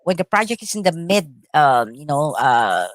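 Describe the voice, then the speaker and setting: A middle-aged woman with a Filipino accent, whose first language is Tagalog, answering interview questions thoughtfully with many pauses and uhs. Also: sits low, big pitch swings, dry recording, studio quality. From Philippines. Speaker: actress, interview guest.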